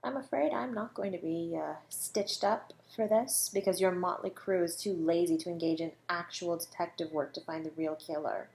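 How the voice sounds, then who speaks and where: A woman playing a suave, flirty academic suspected of murder in an audio roleplay, teasing and condescending, drawing out her words with breathy asides. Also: lowish voice, normal speed, hardly any pauses, a little mellow, poor audio. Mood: scornful. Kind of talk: scripted audio roleplay monologue.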